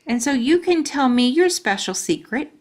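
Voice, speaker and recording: A woman's voice: high voice, expressive, moderate pace, voice pushed up. A woman voicing a coaxing, chatty little schoolgirl in a storybook, pleading and confiding.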